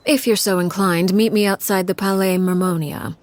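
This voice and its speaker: A woman voicing a cold, commanding matriarch who rules an orphan household, in video game dialogue. She speaks in a calm, measured and quietly menacing way. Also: mid-range voice, lightly acted. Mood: cool menace.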